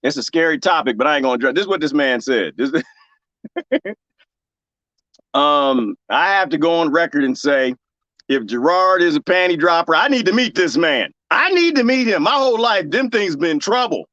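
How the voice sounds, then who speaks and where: A man's voice: fairly high pitch, normal speed, no room sound, lo-fi sound. A man with a Texas accent chatting casually with his viewers while gardening, joking and laughing often. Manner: animated and joking, laughs often, talks straight to his chat. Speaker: livestream host reading viewer comments. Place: garden, planting cuttings.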